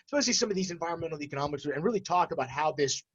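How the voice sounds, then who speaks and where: High-voiced man, rambling co-host, dull sound. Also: animated, very fast, slightly rough. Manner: rambling, breaks off and restarts sentences. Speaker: co-host of an energy industry talk show. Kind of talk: casual unscripted banter and commentary.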